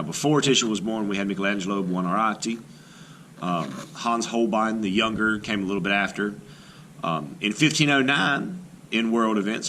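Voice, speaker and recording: A man's voice: lowish voice, a little hiss or hum. A man teaching art, reading a painter's biography aloud and then walking through a timeline with casual asides. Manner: lively, emphatic read-aloud delivery with asides.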